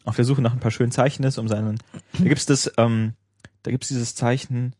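A man talks at length on a casual podcast, explaining and filling pauses with ums. The sound is dry and close. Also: mid-range voice, steady tone, a little mellow, no room sound. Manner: chatty, explaining with many fillers. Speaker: podcast co-host. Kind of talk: casual tech chat.

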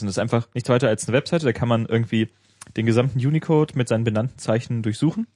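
A man talks at length on a casual podcast, explaining and filling pauses with ums. The sound is dry and close. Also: mid-range voice, a little mellow, no echo. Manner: chatty, explaining with many fillers. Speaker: podcast co-host. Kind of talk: casual tech chat.

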